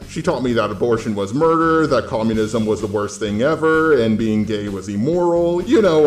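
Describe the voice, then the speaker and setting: A man's voice: mid-range voice, darkish tone. An American man from California delivering a scripted opinion monologue in a blunt, sweary, sardonic way as a YouTube host. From California, United States. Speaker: YouTube host with a robot avatar. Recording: roomy echo, faint music bed.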